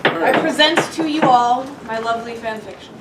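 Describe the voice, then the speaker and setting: A woman's voice: a little high, moderate pace, heavy background noise. A woman hosting a casual live convention panel as its fan fiction author. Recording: echoey crowded convention room, far from mic.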